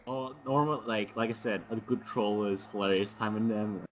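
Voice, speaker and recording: A man's voice: fairly low pitch, steady tone, moderate pace, a little hiss or hum, rough recording. A man giving his opinion in casual chat as a panelist on a voice chat. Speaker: panelist on a group reading show.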